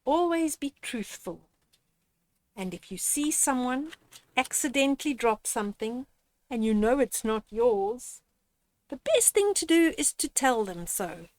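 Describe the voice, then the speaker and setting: An older woman reading a picture book aloud to young children and explaining its lessons in a gently instructive way, speaking to them directly. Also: sits high, big pitch swings, unhurried, no echo. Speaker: storyteller reading children's books. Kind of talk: read-aloud picture book with moral commentary.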